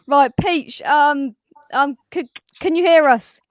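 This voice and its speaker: A man's voice: extremely high pitch, slow pace, dry recording, low-quality recording. A man hosting a casual live stream, reading out the chat with plenty of hesitant ums. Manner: hesitant, lots of ums, laughs along.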